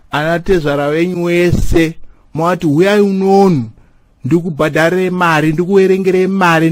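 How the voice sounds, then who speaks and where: Man acting a preachy church elder, higher-pitched voice. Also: dry recording, close to an everyday voice. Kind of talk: animated comedy cartoon dialogue in Shona.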